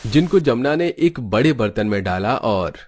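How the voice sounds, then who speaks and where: A man's voice: mid-range voice, sing-song, moderate pace, darkish tone. A man reading scripted narration for a cartoon family story. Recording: faint background noise.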